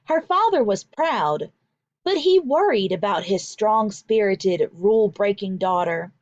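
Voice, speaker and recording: A woman teacher reading aloud to children in an expressive, deliberate, emphatic way. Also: medium pitch, dry recording, highs cut off. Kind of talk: expressive children's read-aloud with vocabulary explanations.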